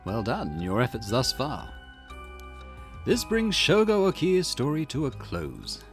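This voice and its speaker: A man reading as a mysterious visual novel storyteller, formal, measured and dramatic. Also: medium pitch, wildly swooping pitch, soft background music. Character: mysterious theatrical storyteller introducing a tale.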